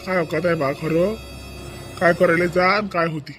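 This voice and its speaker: Man playing an exasperated, high-voiced village husband. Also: fairly even intonation, normal speed, a bit bright, soft background music, natural voice. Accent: Vidarbha Marathi. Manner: repeats phrases insistently. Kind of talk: comedy cartoon dialogue.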